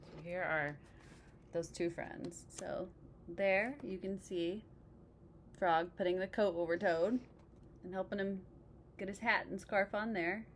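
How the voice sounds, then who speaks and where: A woman reading a picture book aloud expressively, pausing to explain things and check in with young listeners. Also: medium pitch, steady tone, slight background noise. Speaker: read-aloud storyteller.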